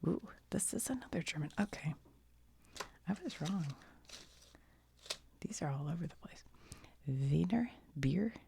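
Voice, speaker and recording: A woman with an American accent murmurs in a low-pitched, heavily creaky whisper. Her breath roughens every phrase and blurs the tone. She chats casually and reads aloud as if thinking out loud for an ASMR viewer. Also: expressive, moderate pace, long pauses. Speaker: host of a whispered show-and-tell video. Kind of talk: ASMR, whispered show-and-tell with reading aloud.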